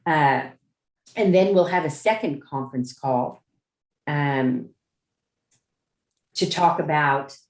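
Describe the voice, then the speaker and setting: A woman chairing a meeting on a video call, informally explaining procedure in a halting way, often rephrasing her points. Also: sits low. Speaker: chair of a judicial nominating commission meeting. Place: indoor room on a Zoom conference call. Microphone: video call microphone. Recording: roomy, echoing video-call audio.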